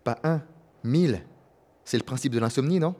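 A man's voice: medium pitch, moderate pace, darkish tone, natural voice. A man playing a wry, rambling, worried resistance fighter in an audio drama.

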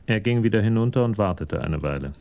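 An actor as the narrator of a crime radio play, reading in a measured, detached manner. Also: male voice, lowish voice, expressive, darkish tone.

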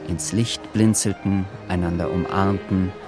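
An actor as a solemn literary narrator in a radio drama, reading scripted prose in a measured way. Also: male voice, deep voice, fairly even intonation, over music.

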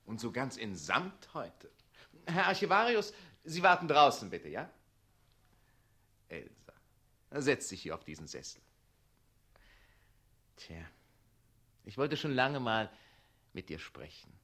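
An actor playing a smooth, falsely friendly scheming official in a radio play, coaxing in an oily, theatrical way. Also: male voice, mid-range voice, sing-song, slow, long pauses, sharp tone, heavily acted.

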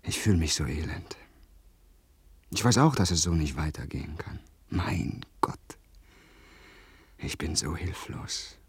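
An anguished gentleman in a radio drama, with a very husky, low voice. He speaks theatrically, slipping into breathy whispers. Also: lively intonation, a little slow, pauses often, dry recording, heavily acted. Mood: despairing. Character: anguished, duty-obsessed English gentleman bent on murder. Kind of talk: German radio play acting.

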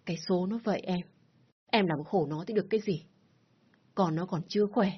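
A woman voicing a gentle older father-in-law who pleads and soothes. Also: lowish voice, a little fast, husky. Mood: calming. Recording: dull lo-fi recording.

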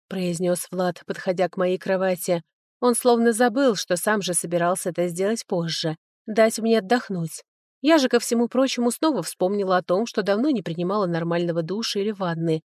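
A woman's voice: medium pitch, darkish tone, dry recording, clean studio sound. A woman reading a first-person story aloud as an audiobook narrator, in a scripted storytelling style.

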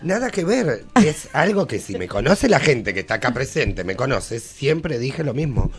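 A man's voice: medium pitch, very animated, moderate pace. A man with an Argentine Rioplatense accent chatting casually as a radio panelist, emphatic and exclamatory. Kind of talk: casual chat.

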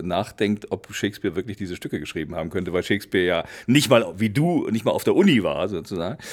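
A middle-aged or older man, explaining things casually and discursively, thinking aloud as he goes. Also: lowish voice. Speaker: drama lecturer and dramaturge.

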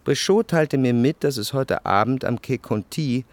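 An actor playing a refined first-person narrator in a radio drama, speaking in a measured, precise, reflective literary manner. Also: male voice, mid-range voice, lively intonation, no echo, natural voice. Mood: detached, wry. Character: reflective, refined male narrator recalling Parisian salon society. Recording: faint music behind the voice.

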